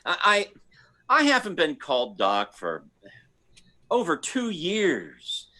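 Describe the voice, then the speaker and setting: A man playing a nervous husband in an old-time radio mystery, halting and theatrical. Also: fairly high pitch, huge pitch swings, sharp tone, heavily acted. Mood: pleading, panicked.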